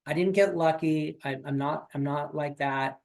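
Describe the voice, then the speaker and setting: A man's voice: a little high, fairly even intonation, normal speed. Man in his forties giving a motivational coaching pep talk. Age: 40s. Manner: coaching, repetitive, pep-talk with many fillers. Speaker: sales coach. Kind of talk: motivational training call.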